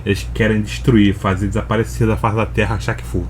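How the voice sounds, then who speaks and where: A man's voice: fairly low pitch, level tone, a little fast, a little hiss or hum. A Brazilian man giving casual, slangy, conversational commentary as he reacts to a video.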